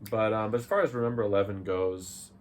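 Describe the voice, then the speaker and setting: Low-voiced man chatting casually. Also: fairly even intonation, fast talker, crowd noise. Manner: agreeable, loose phrasing with self-corrections. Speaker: visual novel reviewer. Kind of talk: casual conversational chat. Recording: faint noisy background.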